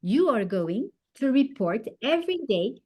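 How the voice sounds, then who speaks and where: A woman's voice: a little high, very animated, a little slow, a little mellow, husky, exaggerated voice. A woman voicing a cheerful first-grade teacher who talks to her class in an encouraging, sing-song way.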